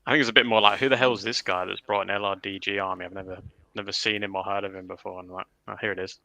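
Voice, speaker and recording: A man's voice: fairly low pitch, little pitch movement, rapid-fire, gravelly, sharp tone, dry recording. A man chatting casually about his miniature wargaming hobby on a livestream, with a self-deprecating manner. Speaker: livestream panel member.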